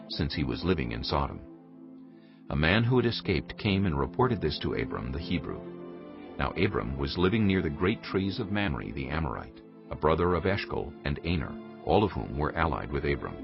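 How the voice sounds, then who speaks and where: A man with an extremely deep voice narrates scripture as measured storytelling. His delivery is expressive and varied in pitch, over music on a slightly muffled recording. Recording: dull, band-limited stream with music. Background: clear music.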